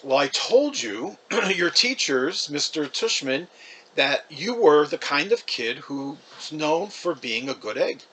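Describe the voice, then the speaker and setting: A man voicing a polite, proud mother gently persuading her child. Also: a little high, sing-song, slow, crowd noise, rough recording. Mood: proud, flattering.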